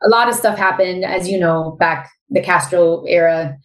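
A woman's voice: on the low side, moderate pace. Woman, miami accent, candid storyteller, echoey room. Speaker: guest. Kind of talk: casual interview chat.

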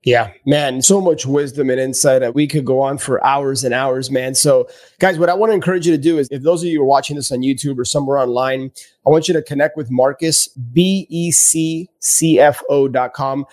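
A man's voice: mid-range voice, fairly quick, darkish tone. A man with a Cuban accent hosting a casual podcast interview, affirming his guest often and calling him "man.". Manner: enthusiastic, affirming with "man" and "yeah". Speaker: podcast host.

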